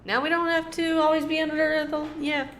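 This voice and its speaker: A woman's voice: sits high, little pitch movement, moderate pace, fairly bright tone, slight background noise. A woman streamer giving casual, playful game reactions, thinking aloud in a chatty way. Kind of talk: casual reaction commentary, some reading aloud. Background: game music.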